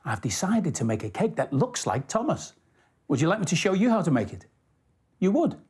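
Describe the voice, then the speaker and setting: A man presenting for young children, reading and explaining step by step in an expressive way and speaking straight to the viewer. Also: sits high, a little fast, slightly rough, no echo. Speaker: storyteller and presenter. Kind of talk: children's story reading and step-by-step craft narration. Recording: music behind the voice. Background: music.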